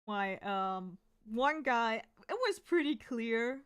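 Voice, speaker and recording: Smooth-voiced woman chatting loosely. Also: on the high side, animated, slow, fairly bright tone. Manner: rambling, thinking aloud with many fillers. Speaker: artist streaming while drawing. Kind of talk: casual chat to stream viewers.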